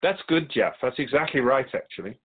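A man's voice: mid-range voice, lively intonation, slight background noise, close to an everyday voice. A man as a knowing otherworldly spirit guide, phone-like sound. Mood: assured, teaching. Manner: measured, knowing, didactic. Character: calm otherworldly spiritual being explaining humanity to humans. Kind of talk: radio drama interview.

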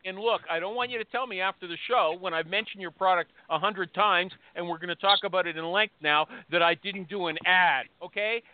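A man's voice: high-pitched, a little fast, hardly any pauses. A man hosting a casual interview show, pushy and teasing, cutting in on his guest. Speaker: show host. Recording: tinny phone-line sound.

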